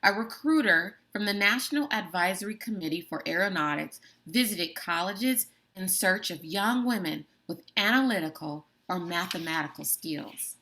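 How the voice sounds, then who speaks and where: A woman teacher reading a chapter of a book aloud to her students, slow and deliberate, stressing key words and adding brief asides. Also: lowish voice, animated, bright, forceful tone, rough recording. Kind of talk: classroom read-aloud of a book.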